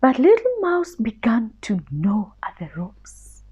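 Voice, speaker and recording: A woman with a fairly high voice reads to children. Her delivery is extremely slow and teacherly, with very animated pitch, captured on a slightly dull recording. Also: husky. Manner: deliberate, teacherly. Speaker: children's story reader. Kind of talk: expressive read-aloud storytelling for children.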